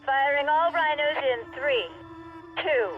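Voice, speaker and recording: A clever woman scientist on mission comms, very high-pitched, clipped and businesslike. It is video game acting with music clearly behind it. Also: slow, phone-quality sound. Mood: focused, urgent.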